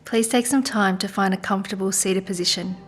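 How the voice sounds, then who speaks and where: A woman leading a guided meditation in a soft, gentle, soothing manner with unhurried pauses. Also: mid-range voice, steady tone. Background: music.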